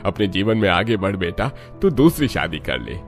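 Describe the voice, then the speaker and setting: A man's voice: sits low, very animated, normal speed, vocal fry, soft background music, exaggerated voice. A man voicing a concerned older father in a cartoon story, earnest and admonishing as he advises. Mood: concerned, urging.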